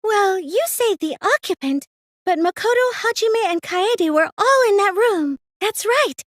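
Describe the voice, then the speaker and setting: A teenage anime game girl, a self-effacing cosplay geek with a very high, girlish voice. She is soft-spoken yet excitable, unhurried and hesitant, with theatrical, swooping emotion. The sound is dry and close. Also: dry recording. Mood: puzzled, reasoning.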